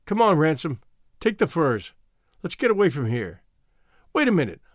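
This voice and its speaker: A man's voice: on the high side, very animated, normal speed, dry recording, clean studio sound. A man voicing a proud, hot-tempered young ex-gangster in a storybook, blunt, slangy and emphatic. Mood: grim, wild.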